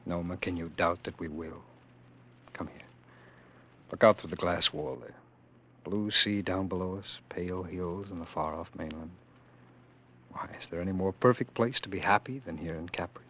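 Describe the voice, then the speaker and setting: An actor in a vintage radio drama, playing a haunted man who once ruled the world, who narrates his dream with earnest, halting intensity. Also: low voice, fairly bright tone, breathy edge. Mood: tender. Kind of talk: 1940s radio acting. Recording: dull old radio broadcast.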